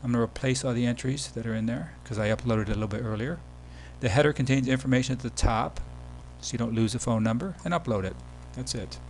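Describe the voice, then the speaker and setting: A man giving a casual, step-by-step software tutorial as the presenter of a screen demo. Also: mid-range voice, fast talker, hardly any pauses, rough recording. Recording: background hum and noise.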